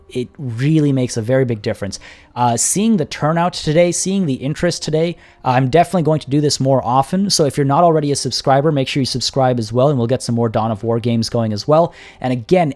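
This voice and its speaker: A young man hosting a game livestream, chatting casually to viewers and reading out their comments, his voice getting worn and hoarse. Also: mid-range voice, a little mellow. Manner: upbeat, chatty, grateful, many restarts. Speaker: livestream host and game caster. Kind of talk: casual livestream sign-off, reading chat aloud.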